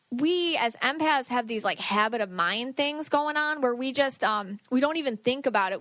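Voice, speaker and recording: A woman's voice: fairly high pitch, fairly bright tone, dry recording. A woman hosting a podcast, giving casual spiritual advice in a rambling, emphatic way, full of "like" and "you know" and speaking straight to the listener. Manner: emphatic, direct address to listener. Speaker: podcast host, psychic reader giving advice.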